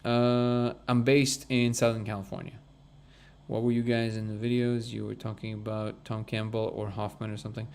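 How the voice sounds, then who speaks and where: A man's voice: fairly low pitch, normal speed, vocal fry, a little hiss or hum. A man who grew up in Russia, hosting a live discussion stream, chatting casually and earnestly with viewers and reading out their comments as he goes. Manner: rambling, restarts, animated and earnest. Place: Southern California.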